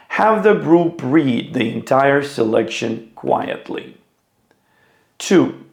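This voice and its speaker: A male teacher explains classroom reading methods at an unhurried, deliberate pace. His pitch moves animatedly up and down, with a gravelly touch on low notes. The sound carries a roomy echo. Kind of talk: instructional video talk.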